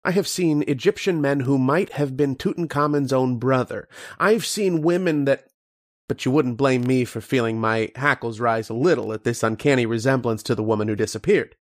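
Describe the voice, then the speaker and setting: A man's voice: mid-range voice, moderate pace, lightly acted. A man playing a learned, patient archaeologist who explains and narrates in an old-time radio horror drama style. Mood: uneasy, brooding. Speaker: lead actor and narrator.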